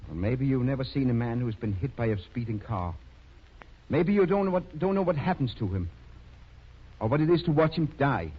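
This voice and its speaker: An actor playing a stern country doctor who scolds and commands, in 1930s radio drama style. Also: male voice, mid-range voice, animated, a little fast, slightly rough. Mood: grim, stern. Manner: severe, reproachful, commanding. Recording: muffled, lo-fi 1930s radio recording.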